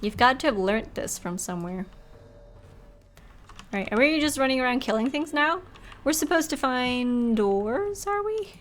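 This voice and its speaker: A woman's voice: high-pitched, animated, measured pace, a little hiss or hum. A woman hosting a live game stream, talking casually and expressively and reading bits aloud in a chatty, giggly way.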